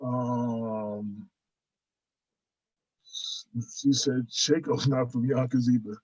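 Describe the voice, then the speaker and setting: A male radio host asks questions haltingly and reads out listener comments. His mid-pitched voice sounds dry and close, with a dull, lo-fi quality. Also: fairly even intonation, a little mellow, dry recording. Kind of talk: interview questions.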